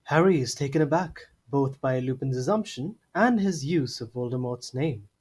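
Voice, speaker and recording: A man's voice: medium pitch, animated, moderate pace, a little mellow, slightly rough. A man reading scripted narration for an audio drama. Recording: slightly noisy home mic.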